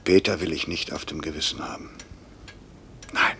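An actor playing a stern, anguished middle-aged airline director in a 1950s German radio drama, curt and brooding. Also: male voice, steady tone, normal speed, bright, forceful tone. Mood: anguished, tender. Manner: curt, commanding, brooding, sinking into breathy murmurs. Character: stern, anguished male airline director, guilt-ridden boss. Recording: hissy, rumbling 1950s radio recording.

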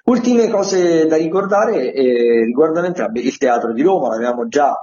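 A man's voice: a little high, animated, dark tone. Dull-sounding man hosting arts radio. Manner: digressive, halting, self-correcting asides. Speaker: radio host presenting theatre listings. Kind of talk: semi-improvised radio arts listings commentary.

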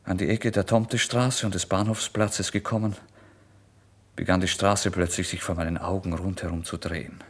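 A man giving a dramatic first-person literary narration, intense and theatrical, moving between hushed and loud delivery. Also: deep voice, fairly even intonation, normal speed.

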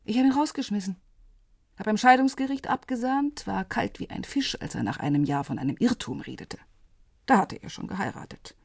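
A woman playing a wry, bitter, guarded middle-aged divorcee in radio drama dialogue, with a husky, sardonic delivery. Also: medium pitch, animated, brisk, no room sound.

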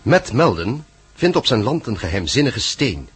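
A man giving a deliberate, dramatic scripted narration for a radio serial. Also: medium pitch, sing-song, husky, no echo, faint music behind.

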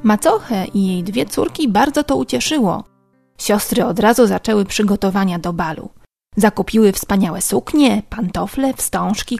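Female storyteller, mid-range pitch, scripted children's tale narration over prominent guitar backing. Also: vocal fry, darkish tone. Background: plucked guitar music.

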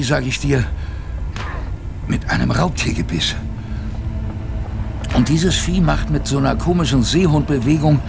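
An actor playing a male polar expedition survivor, narrating his story dramatically in first person, halting and repeating phrases. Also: mid-range voice, creaky, noisy background. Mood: gripped, fearful.

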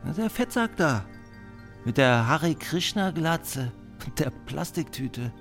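An actor playing a blunt, gruff man in a radio play. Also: a little high, very animated, slow, full character voice. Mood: irritated. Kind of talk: German radio play acting. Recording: music behind the voice.

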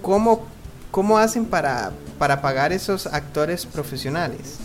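A man hosting a radio interview in a conversational way, often halting as he searches for words. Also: a little high, expressive, music in the background.

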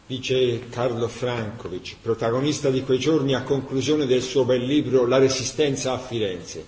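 An actor playing a passionate Tuscan storyteller in a radio drama, telling wartime tragedy in an emphatic, theatrical way. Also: male voice, medium pitch, normal speed, a little mellow, lightly acted. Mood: solemn. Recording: echoey room, faint background noise.

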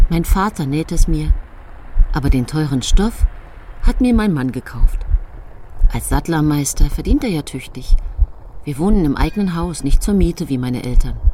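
A woman's voice: low-pitched, mellow, a little hiss or hum. An actress playing an outwardly pious, secretly cruel young bourgeois wife in a German radio play, telling her story with genteel self-satisfaction.

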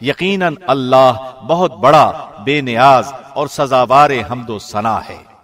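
A man's voice: mid-range voice, a bit bright. Solemn male studio narrator. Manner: measured, solemn, formal reading. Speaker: translation reader. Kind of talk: scripted narration. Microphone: studio mic.

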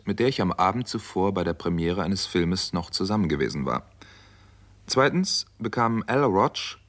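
An actor playing a composed, resolute doctor who narrates a radio crime story in a measured, matter-of-fact way. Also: male voice, deep voice. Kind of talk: scripted first-person crime drama narration.

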